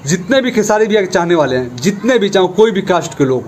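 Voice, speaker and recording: A man's voice: high-pitched, sing-song, fast, darkish tone, a little hiss or hum. A man makes an informal, insistent appeal to his followers as a vlogger. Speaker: YouTuber appealing for support. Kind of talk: informal vlog address to followers.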